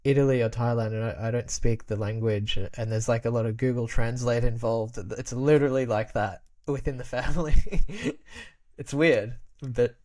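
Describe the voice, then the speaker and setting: A man's voice: mid-range voice, normal speed, a little mellow. A young man talks in an easygoing, rambling way, full of "like" fillers and restarts. He speaks as a casual podcast guest who grew up speaking Albanian at home, and he laughs often as he goes. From Australia.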